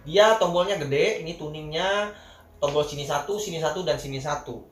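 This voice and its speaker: A man's voice: fairly high pitch, normal speed. A man with a Jakarta Indonesian accent giving a casual product review in informal, colloquial talk, often addressing his viewers directly. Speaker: vlogger reviewing gear. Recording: echoey room with faint music.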